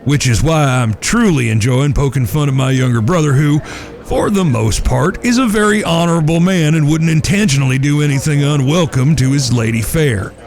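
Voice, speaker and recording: Gravelly, wry cowboy big brother acted by a man. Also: on the high side, normal speed, a little mellow, close to an everyday voice. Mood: wry, teasing. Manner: folksy, mock-formal. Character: wry, teasing eldest cowboy brother, courtly talker. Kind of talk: Western audio drama acting.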